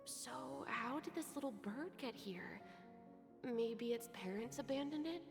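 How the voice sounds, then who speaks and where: A cautious, protective young boy in a game story scene. He speaks in a fairly high, earnest, studious voice. Long reverb surrounds it, with music clearly playing behind. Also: animated, measured pace. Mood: curious, careful. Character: serious, cautious young scholarly boy, protective older brother. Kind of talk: video game cutscene acting.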